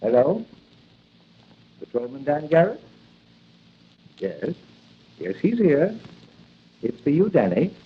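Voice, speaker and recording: Very husky thoughtful adviser. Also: male voice, fairly high pitch, wildly swooping pitch, takes it slowly, pauses often, full character voice. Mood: probing, thoughtful. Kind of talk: 1940s radio drama acting. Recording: muffled old radio recording.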